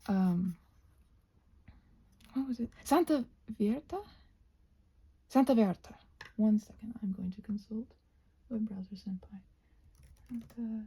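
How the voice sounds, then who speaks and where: A woman chatting in a rambling ASMR craft-video style, thinking aloud in a scattered way, with a breathy voice that often slips into a whisper. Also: mid-range voice, measured pace, long pauses, mellow. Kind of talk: rambling ASMR craft chat while painting.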